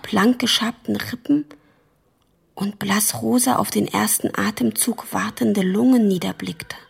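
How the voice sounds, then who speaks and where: A horrified woman narrating in a radio play. Her whisper is extremely husky and thick with creak, hushed and intense. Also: medium pitch, steady tone, measured pace, exaggerated voice. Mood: hushed horror. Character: horrified, fascinated woman recounting a grisly tale.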